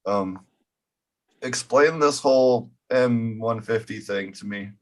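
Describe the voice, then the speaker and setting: A man's voice: mid-range voice, slow. A man jokes casually on a video call. He sits back from his mic, so his voice sounds distant. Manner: casual, joking.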